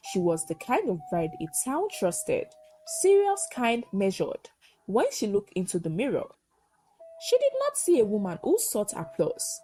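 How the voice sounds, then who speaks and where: A woman narrating a scripted folktale in short, measured, dramatic phrases. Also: mid-range voice, a little mellow. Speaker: storyteller, narrator.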